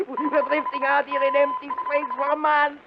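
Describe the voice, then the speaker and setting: An actor playing a bitter, mocking convict who jeers and cackles, in 1950s radio drama style. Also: male voice, extremely high pitch, completely flat delivery, moderate pace, loud music behind the voice. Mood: bitter taunting. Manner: jeering, cackling, taunting. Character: bitter, mocking male space convict nicknamed Doc. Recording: noisy, muffled 1950s radio recording.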